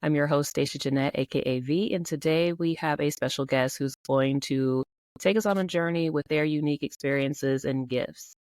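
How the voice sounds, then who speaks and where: A woman hosting a podcast interview, encouraging her guest with many quick affirmations. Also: low voice, a little mellow, dry recording. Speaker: podcast host.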